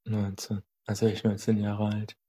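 Young man, 19, low monotone, short offhand answers. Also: normal speed. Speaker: interviewee. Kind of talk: casual interview answers.

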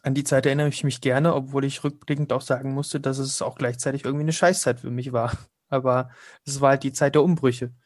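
A man's voice: medium pitch, little pitch movement, brisk, no echo. Young man, casual and chatty interviewee, dry close recording. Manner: chatty, laughs often, hesitant fillers.

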